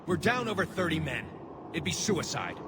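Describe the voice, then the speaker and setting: A man's voice: a little high, big pitch swings, normal speed. A man playing a burdened, dutiful young alien military officer in a sci-fi game, speaking earnestly and formally. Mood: defeated, regretful. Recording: loud vehicle and battlefield noise behind.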